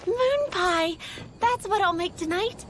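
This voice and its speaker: A woman's voice: very high voice, lively intonation, slow pace, noisy background, exaggerated voice. A woman playing a sweet, timid young flower shop assistant in a video game, polite and a little hesitant. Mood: cheerful, polite.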